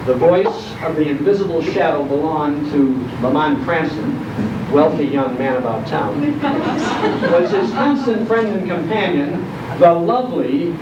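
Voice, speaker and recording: Echoey, high-pitched older American man storytelling. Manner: theatrical storyteller with deliberate emphasis. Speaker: guest speaker, radio nostalgia host. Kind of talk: live talk telling nostalgic stories to an audience. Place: indoor program venue before an audience. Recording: echoey, noisy, muffled live hall recording, distant microphone.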